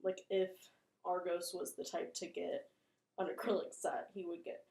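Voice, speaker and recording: A woman's voice: lowish voice, flat delivery, normal speed, gravelly, a little mellow. A woman giving casual video commentary as a host, chatty, rambling and self-deprecating. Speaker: host of a horror video channel. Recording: roomy, echoing room sound.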